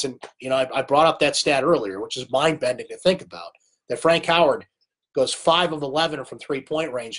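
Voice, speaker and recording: A man hosting a late-night live sports recap in a fairly high voice, chatty and opinionated. His voice is worn by a cold, and he talks straight into a webcam. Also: a bit bright, breathy edge. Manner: chatty, opinionated, reads viewer comments aloud. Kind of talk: casual live-stream sports talk.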